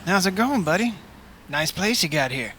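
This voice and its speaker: Man playing an easygoing, bright-voiced tourist. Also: sits high, expressive, moderate pace, a little hiss or hum. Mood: friendly, curious. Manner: casual, laid-back. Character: easygoing, clueless male city tourist on vacation. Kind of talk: comic radio sponsor skit.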